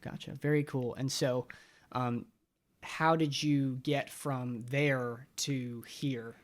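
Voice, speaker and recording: Upbeat podcast host. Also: male voice, mid-range voice, fairly even intonation, takes it slowly. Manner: upbeat, enthusiastic. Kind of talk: casual interview hosting.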